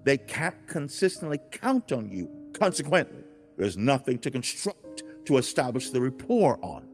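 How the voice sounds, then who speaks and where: A man's voice: mid-range voice, very animated, normal speed. A man in a dramatized religious audiobook, speaking as God, a grieved and loving father, in a solemn, emphatic, preaching manner with formal wording. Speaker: audiobook narrator. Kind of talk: dramatized scripted religious narration. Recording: music bed under the voice.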